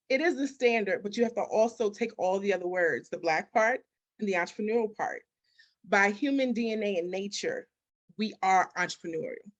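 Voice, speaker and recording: A woman's voice: medium pitch, a bit bright. A woman giving motivational, conversational interview answers as a business coach, emphatic, with repetition and rhetorical questions. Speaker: business architect and mindset coach, interview guest.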